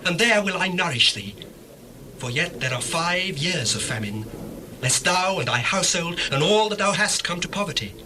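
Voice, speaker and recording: An actor playing an impassioned, commanding lord of Egypt in a formal, declamatory dramatized scripture reading. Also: male voice, high-pitched, lively intonation, normal speed. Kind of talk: dramatized scripture reading, radio-play acting. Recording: noisy old dramatized audio recording.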